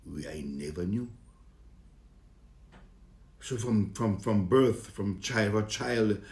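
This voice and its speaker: A man's voice: on the low side, expressive, slow pace, darkish tone, echoey room. A man with a Vincentian accent, a comedian introducing himself to his fans in a jovial, rambling way. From Saint Vincent and the Grenadines and the British Virgin Islands. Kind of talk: casual self-introduction to fans.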